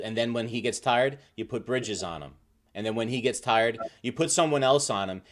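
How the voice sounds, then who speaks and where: A man on a sports talk panel discussing basketball casually and off the cuff, building his points step by step. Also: fairly low pitch.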